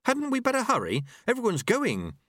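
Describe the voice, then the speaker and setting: A man's voice: extremely high pitch, huge pitch swings, normal speed, fairly bright tone, no echo, clean studio sound, pitched above the performer's own voice, heavily acted. A man voicing a nervous, earnest young male apprentice who talks hesitantly in an audiobook. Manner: hesitant and stammering. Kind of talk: audiobook character voice.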